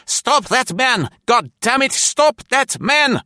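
A man voicing an excitable German officer in an audiobook, screaming commands. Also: extremely high pitch, takes it slowly, no room sound, studio quality, voice pushed up, heavily acted. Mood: frantic, triumphant.

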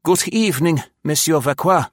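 A man voicing a tired, officious German police officer in an audiobook thriller, questioning in a brisk manner. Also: fairly high pitch, dry recording, clean studio sound, pitched above the performer's own voice, natural voice.

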